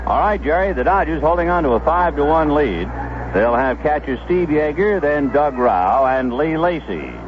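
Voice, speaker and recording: A man's voice: on the high side, expressive, moderate pace, muffled recording, noisy background. A man giving live play-by-play baseball commentary as a radio announcer on a 1970s broadcast.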